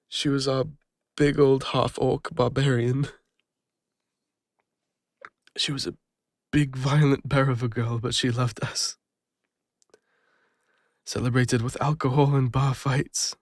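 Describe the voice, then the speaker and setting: Vulnerable English adventurer, creaky voice, acted by a man. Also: medium pitch, flat delivery, dry recording, clean studio sound. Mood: fond, nostalgic. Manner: halting, emotional, confessional. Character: Killian, guilt-ridden retired male adventurer, vulnerable, lonely, wistful. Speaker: audio roleplay voice actor. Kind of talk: intimate fantasy audio roleplay.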